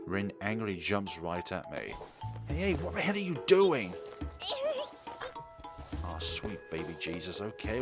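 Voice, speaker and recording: A man hosting a game playthrough, reading story text aloud, acting out dialogue and adding his own commentary. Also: sits low. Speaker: gaming video host. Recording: loud music behind the voice. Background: game music.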